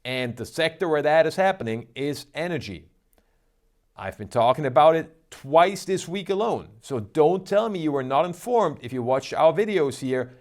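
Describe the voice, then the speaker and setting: A man's voice: fairly high pitch, lively intonation, moderate pace. A man hosting a stock trading show, talking in an upbeat, emphatic, salesy way. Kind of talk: energetic market commentary.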